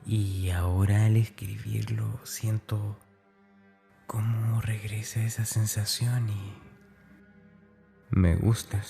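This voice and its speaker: A male actor plays a tormented schoolboy confiding in his diary in a horror radio drama. The voice is fairly low and soft-edged, hesitant and brooding, with a dark streak. Light music plays underneath. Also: flat delivery, slow pace, gravelly, long pauses. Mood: dark pleasure. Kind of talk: confessional diary monologue in horror audio drama.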